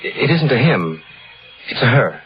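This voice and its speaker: A man's voice: medium pitch, big pitch swings, moderate pace, faint music behind, natural voice. An actor playing a polite, hesitant son in his twenties in a 1950s radio drama. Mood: hesitant, protesting. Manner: polite, hesitant, gently protesting. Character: dutiful, restless twenty-five-year-old son of a smothering mother. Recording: muffled old radio recording.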